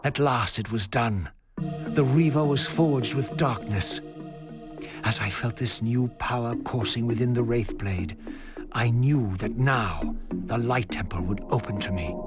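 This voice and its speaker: A man's voice: mid-range voice, unhurried, lightly acted. An actor playing a brooding undead wraith, once a noble vampire, narrating his quest in grave, formal language for a game. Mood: solemn. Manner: formal, grave, literary phrasing. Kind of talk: scripted dramatic narration. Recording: music behind.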